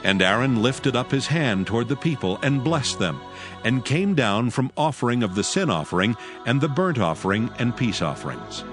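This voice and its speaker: A man narrating scripture from a script in a solemn, measured reading. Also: mid-range voice, very animated. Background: background music.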